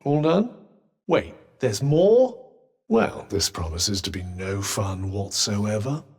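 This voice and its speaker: A sardonic, lilting British magical companion. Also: male voice, fairly low pitch, sing-song, takes it slowly, a little mellow, husky, full character voice. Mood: mocking. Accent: British English. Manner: dry, sarcastic, condescending, theatrical. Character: sardonic, haughty, well-spoken talking magical cuff, male. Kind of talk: video game character dialogue.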